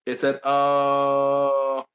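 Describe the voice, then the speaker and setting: Man, Ghanaian accent, mid-pitched voice, rambling casual vlog talk, restarts and fillers, roomy echoey recording. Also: monotone, drawn-out words, a bit bright. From Bahamas, raised partly in Ghana. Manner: rambling, many restarts and fillers, emphatic repetition. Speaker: vlogger answering viewer questions. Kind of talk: casual live chat to viewers. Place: indoors in Brazil.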